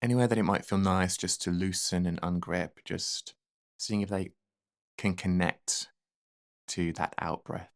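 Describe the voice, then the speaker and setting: Slow, low-voiced man guiding meditation. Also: fairly even intonation, no echo, clean studio sound. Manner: gentle, unhurried, soothing delivery. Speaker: meditation guide. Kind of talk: guided meditation.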